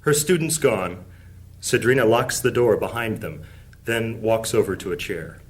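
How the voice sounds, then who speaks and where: A man narrating a high fantasy audio drama as a solemn, measured storyteller. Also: close to an everyday voice.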